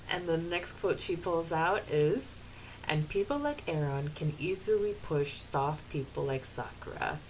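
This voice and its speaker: A woman with a slightly low voice co-hosts a podcast about a book. She talks casually and giggly, moving between chat and read-out quotes, with some hiss on the recording. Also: expressive, takes it slowly, darkish tone, rough recording. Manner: giggly, often laughing. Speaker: podcast co-host. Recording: hissy background noise.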